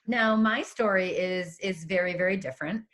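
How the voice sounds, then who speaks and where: A woman's voice: lowish voice, moderate pace, fairly bright tone. A woman in her forties from southeastern New England giving a conversational webinar talk, with fillers like um and you know. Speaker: webinar presenter. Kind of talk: personal storytelling presentation.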